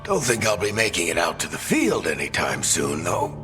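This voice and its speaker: Tough wry older rogue mentor, fantasy game, lowish bright voice, nonchalant. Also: male voice, huge pitch swings, moderate pace, faint music behind, full character voice. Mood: wry, reassuring. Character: tough, wry middle-aged roguish mentor in fantasy adventure. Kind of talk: cinematic fantasy game voice acting.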